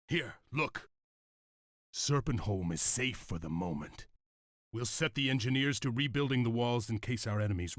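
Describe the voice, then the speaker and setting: A low, husky-voiced usurper in a game cutscene, ruthless and impatient. He is scornful and commanding, heard close and dry. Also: no echo. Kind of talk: video game cutscene acting.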